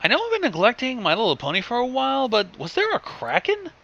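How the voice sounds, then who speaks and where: A man's voice: very high-pitched, huge pitch swings, fast talker, vocal fry, sharp tone. A man co-hosting with casual banter, sardonic, blunt and sweary, recorded on a lo-fi microphone. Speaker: co-host commenting on the reading. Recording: dull lo-fi microphone sound.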